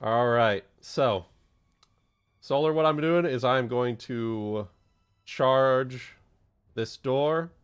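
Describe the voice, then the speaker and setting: A man's voice: medium pitch, drawn-out words, pauses often, clean tone. A man playing a tabletop game, chatting casually at the table.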